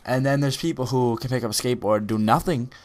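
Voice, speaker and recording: A man's voice: medium pitch, quick pace. A teenage boy giving a casual, rambling motivational talk over gameplay footage, with many ums and restarts. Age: teens. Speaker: gaming video creator.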